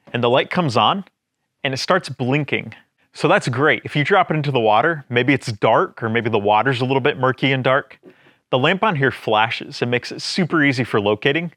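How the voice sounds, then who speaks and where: A man's voice: mid-range voice, a bit bright, no echo. Man casually explaining gear, very dry sound. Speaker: gear reviewer presenting a product. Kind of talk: casual product review talk.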